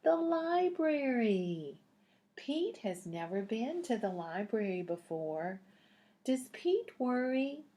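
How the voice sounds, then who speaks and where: A woman's voice: a little high, sing-song, slow, dark tone. A woman reading a picture book aloud to young children in a teacherly way, putting questions to the listeners.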